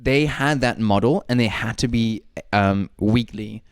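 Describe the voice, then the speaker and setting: A man's voice: on the low side, clean tone. A young man co-hosting a podcast, rambling and teasing his friends in casual banter. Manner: rambling, teasing, quick asides. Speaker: podcast co-host.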